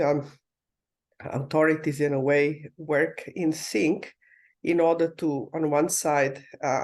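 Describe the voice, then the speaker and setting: A speaker from Croatia, giving measured conference panel remarks over a video call, with frequent filler sounds and restarts. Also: medium pitch, gravelly, a little mellow. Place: remote, not in the conference room.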